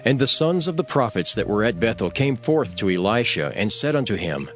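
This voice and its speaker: Scripture narrator, man: solemn storyteller with animated melody over soft music. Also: lowish voice, a little fast.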